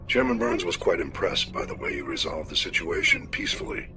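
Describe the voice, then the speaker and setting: Formal military commander, game dialogue, creaky bass voice, swooping intonation, authoritative. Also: male voice, huge pitch swings, a little fast, music in the background, exaggerated voice. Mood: formal, approving. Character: formal senior male naval fleet officer relaying commendation. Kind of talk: video game voice acting.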